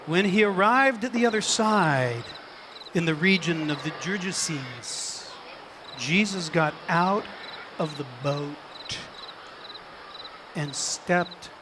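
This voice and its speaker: A male preacher giving a theatrical, suspenseful dramatic reading as sermon storytelling, with deliberate pauses. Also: fairly high pitch, lively intonation, background noise. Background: music and sound effects.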